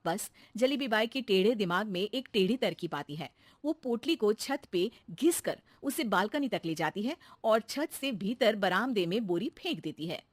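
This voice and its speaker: A woman narrating a children's cartoon story in a lively storytelling manner. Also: mid-range voice, moderate pace, no echo.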